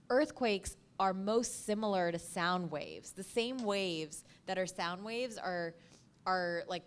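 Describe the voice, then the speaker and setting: Woman podcast producer on a panel, lowish voice, spells out advice in deliberate phrases. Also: fairly bright tone. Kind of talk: panel talk, advice to an audience.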